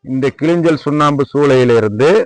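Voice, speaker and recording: A man with a Tamil Nadu accent and a mid-pitched voice presents his workshop informally. He speaks in a demonstrative, repetitive way, respectfully addressing the viewer. The sound is dry, with little echo. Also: a little fast. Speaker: lime manufacturer presenting own workshop. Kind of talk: informal product tour and sales pitch. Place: at a lime kiln worksite.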